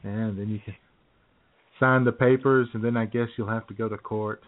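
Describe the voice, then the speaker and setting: A man's voice: lowish voice, fairly even intonation, moderate pace. A man co-hosting a radio comedy show in casual banter, jokey and rambling. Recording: narrow phone-like radio audio.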